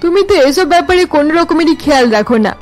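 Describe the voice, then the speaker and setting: Middle-aged cartoon housewife, bossy, scolding, brisk, high-pitched, music behind. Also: female voice. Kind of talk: cartoon family drama.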